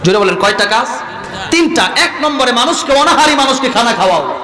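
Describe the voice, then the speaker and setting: A man with a Bangladeshi accent preaching an impassioned waz sermon, exhorting his listeners and moving between plain talk and drawn-out melodic chanting. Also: extremely high pitch, big pitch swings, bright, forceful tone. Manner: impassioned, exhorting, calls for responses. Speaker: Islamic preacher. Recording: echoing PA sound with long reverb.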